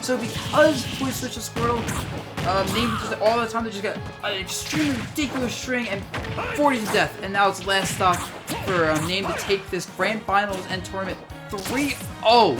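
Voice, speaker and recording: A woman's voice: medium pitch, normal speed, music in the background. A woman commentating a fighting game match live, giving eager play-by-play analysis. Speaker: esports commentator.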